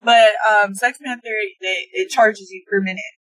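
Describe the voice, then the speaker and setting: A woman's voice: medium pitch, moderate pace, dry recording, low-quality recording. A woman in her twenties from Texas, a guest chatting casually in a car, hesitant with many fillers. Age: 20s. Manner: hesitant, many ums and likes, relaxed. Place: inside a car.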